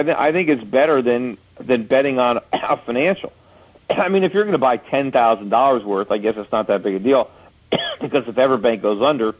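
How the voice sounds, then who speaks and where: A man's voice: medium pitch, slightly rough, rough recording. A man in his forties hosting a call-in radio show, answering callers at length with homely analogies and frequent restarts. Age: 40s.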